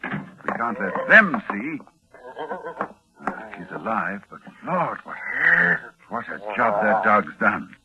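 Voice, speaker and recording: An actor playing a grave, horror-struck scholarly doctor, with dramatic pauses and halting repetitions, in 1940s radio drama style. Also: male voice, lowish voice, very animated, crowd noise. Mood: urgent, shaken. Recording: muffled 1940s radio, loud music.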